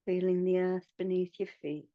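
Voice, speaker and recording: A woman guiding a meditation in a soothing, hushed manner, leaving long pauses between phrases. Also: fairly low pitch, level tone, dry recording, phone-quality sound. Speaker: meditation guide. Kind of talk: guided meditation.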